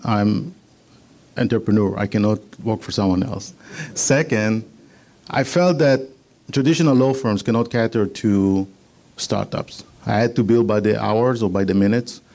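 A middle-aged man from Israel with an Israeli accent, giving a business presentation on patents in measured, slightly halting English. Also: on the low side, dark tone.

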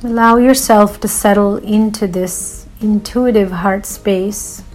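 A female meditation guide speaks very slowly and soothingly at a medium pitch. She leaves long pauses between phrases, with faint music underneath. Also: steady tone, a little mellow, poor audio.

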